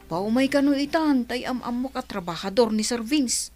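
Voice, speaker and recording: Deferential young Ilocano helper played by a woman, high-pitched, radio play with faint background music. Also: lively intonation, normal speed, a little mellow, lightly acted. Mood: eager, deferential. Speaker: actor in radio drama. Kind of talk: Ilocano radio drama acting.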